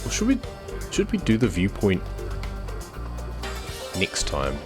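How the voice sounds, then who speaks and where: A man chatting casually as he streams a trucking game, his voice hoarse and nearly gone after a cold. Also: sits low, slow, music in the background. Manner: relaxed, chatty, talks to himself while driving. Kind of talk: casual gameplay commentary.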